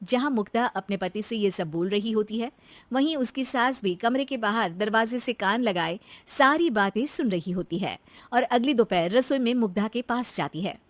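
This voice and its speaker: A woman narrates a cartoon story in a high voice with a very animated, swooping melody. The sound is dry and close. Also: moderate pace, dry recording.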